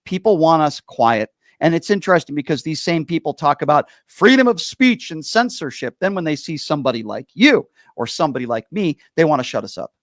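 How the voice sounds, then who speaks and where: A man's voice: a little high, very animated, brisk, few pauses, dry recording, studio quality. A man from Connecticut hosting an interview, effusive and affirming toward his guest. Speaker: podcast host. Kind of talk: interview conversation.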